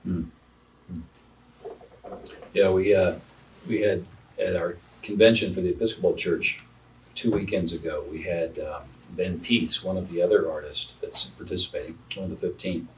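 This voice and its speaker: A man's voice: deep voice, fairly even intonation, moderate pace. A man interviewing artists on a panel at an art center, chatty and explaining with asides. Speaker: interviewer, founder of the arts organization. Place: art center gallery room. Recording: distant mic with faint room noise.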